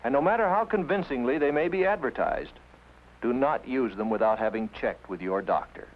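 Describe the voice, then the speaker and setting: Animated 1950s educational film narrator, man. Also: mid-range voice, brisk, slight background noise, poor audio. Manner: didactic, emphatic, with rhetorical questions. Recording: 1950s film soundtrack with music.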